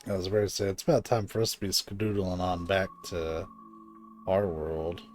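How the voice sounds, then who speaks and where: A man's voice: sits low, level tone, normal speed, a little mellow. A man streaming a video game with informal, sweary live commentary, sometimes reading the dialogue aloud. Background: game music.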